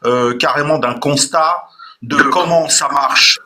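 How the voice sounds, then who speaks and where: A man's voice: on the high side, slow pace, pushed and bright. A man from Portugal in a heated live political argument, ranting and combative, repeatedly demanding not to be interrupted. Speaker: live debate participant.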